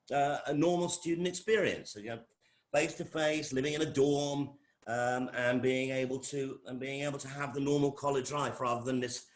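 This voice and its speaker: Middle-aged man, English accent, college lecturer, informal welcome talk, rambling, repetitive phrasing, medium pitch. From England. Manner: rambling, repeats phrases and restarts sentences.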